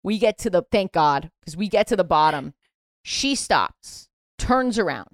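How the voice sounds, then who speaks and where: A woman from New York with a low voice, a podcast host telling an animated story and acting out quotes. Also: unhurried, dry recording, studio quality. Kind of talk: casual storytelling chat.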